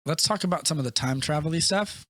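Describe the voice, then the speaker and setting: A man co-hosting a casual movie podcast, retelling scenes in an animated way and acting out quotes as he goes. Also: fairly high pitch, fast, no room sound. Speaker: podcast co-host.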